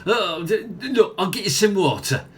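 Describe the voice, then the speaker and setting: A man's voice: high voice, lively intonation, normal speed, slight background noise. Man acting a flustered, hesitant café owner. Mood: apologetic. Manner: hesitant, stammering, apologetic. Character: flustered, polite milkshake bar owner and father. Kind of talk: comic radio play acting.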